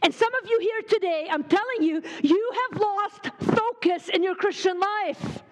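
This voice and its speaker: A woman in her forties from Lebanon preaches at a women's retreat in a very high voice. She talks quickly, cracks jokes and then turns fervent. Her voice rings out through a large, echoey hall. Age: 40s. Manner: fast, joking, fervent. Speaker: retreat speaker.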